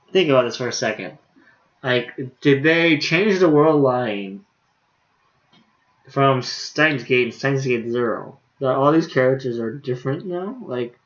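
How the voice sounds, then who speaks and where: A man livestreaming a visual novel, reading the dialogue aloud haltingly with stumbles and self-corrections, and adding casual commentary. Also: medium pitch, dull audio.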